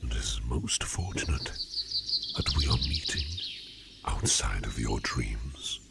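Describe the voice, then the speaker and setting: A man voicing a courtly, curious sleep demon in human disguise, speaking formally in a breathy, half-whispered ASMR roleplay with deliberate pauses. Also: very deep voice, lively intonation, very noisy, heavily acted. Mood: soft, courteous. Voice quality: breathy, often dropping into whisper. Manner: formal, archaic, deliberate phrasing with many pauses. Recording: birdsong and forest ambience behind voice. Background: birdsong, outdoor nature sounds.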